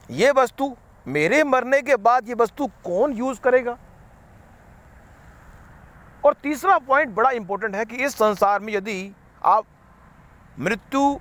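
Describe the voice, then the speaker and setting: A man's voice: extremely high pitch, a bit bright. A man giving an unscripted spiritual talk, rambling and repeating himself, slipping English words into his sentences. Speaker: spiritual speaker.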